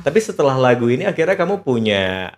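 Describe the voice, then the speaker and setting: A man's voice: medium pitch, sing-song, fast. A man hosting a casual chat, slipping English phrases into slangy questions and interjecting agreement often. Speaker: podcast host, interviewer.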